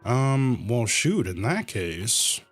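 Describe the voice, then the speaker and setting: A man livestreaming a video game with casual commentary, thinking aloud in a halting way. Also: medium pitch, sing-song, faint music behind.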